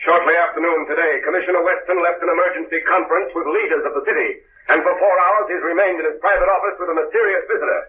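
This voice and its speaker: A man voicing an urgent news announcer in an old radio play. His high voice races through the bulletin, heard from a distance in an echoey room. It sounds tinny and hissy, like an old broadcast. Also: fairly even intonation, natural voice. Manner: rapid bulletin delivery. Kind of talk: 1930s radio drama acting.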